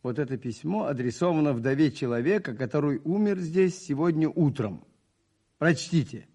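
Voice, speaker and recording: Calm, stubborn priest. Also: male voice, on the high side, moderate pace. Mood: quietly insistent. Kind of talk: 1980s Soviet radio play acting.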